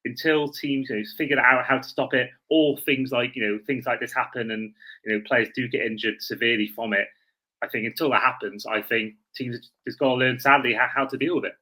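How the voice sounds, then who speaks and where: A man's voice: mid-range voice, fairly even intonation, a little fast, pushed and bright, poor audio. A man hosting a sports podcast in a casual, conversational way. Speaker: podcast host. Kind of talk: casual sports talk, introducing callers.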